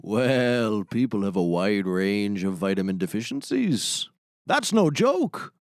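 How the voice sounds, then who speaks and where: A man's voice: fairly low pitch, big pitch swings, takes it slowly, dry recording, clean studio sound, full character voice. An actor playing a matter-of-fact, salesmanlike male sidekick, a shady ex-mercenary, in a scripted comedy. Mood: earnest pitching. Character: gruff male sidekick, shady ex-mercenary turned product pitchman. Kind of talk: scripted comedy audio drama.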